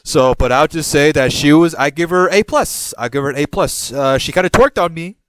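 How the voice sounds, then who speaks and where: A man's voice: fairly high pitch, fairly quick, clear, resonant tone, dry recording. A young man from Puerto Rico hosting a podcast, telling a rambling story casually and jumping into quoted voices. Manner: animated, jumps into quoted voices, rambles and restarts. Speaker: podcast host.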